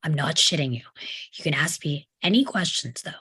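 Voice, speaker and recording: A woman playing a cheeky, blunt young boy with a husky voice in a table read. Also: low voice, animated, brisk, pushed and bright, exaggerated voice, low-quality recording. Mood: bargaining, snappy.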